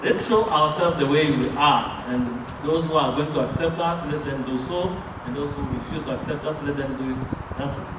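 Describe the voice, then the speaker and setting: A man interpreting a sermon line by line, halting, with fillers and restarts. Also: fairly high pitch, far from the mic. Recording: echoey room, picked up from afar, noisy.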